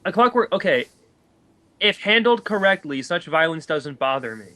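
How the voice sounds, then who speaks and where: A man with a bright, forward voice pitched a little high, talking casually on a voice call. He debates films in an animated, exasperated manner. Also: normal speed. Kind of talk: casual chat, film debate on a group voice call.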